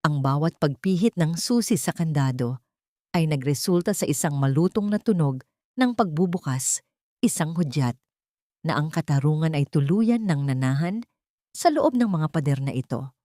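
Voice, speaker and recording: A woman's voice: sits low, expressive, normal speed, mellow, dry recording, studio quality. A woman reading a scripted dramatic story as its narrator.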